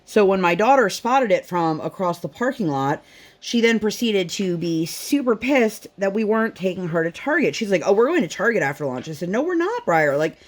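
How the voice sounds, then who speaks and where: A woman's voice: medium pitch, very animated, moderate pace. A woman, a mother and vlogger, telling rambling family stories in a casual chat at home, full of fillers and acted-out quotes. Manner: chatty, rambling, lots of fillers, acts out quotes. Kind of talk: casual storytelling chat while crafting.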